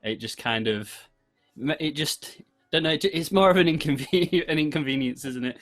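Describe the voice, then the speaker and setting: A man's voice: fairly high pitch, normal speed, faint music behind. A British man chatting casually as a podcast host in a rambling, jokey way. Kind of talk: casual chat.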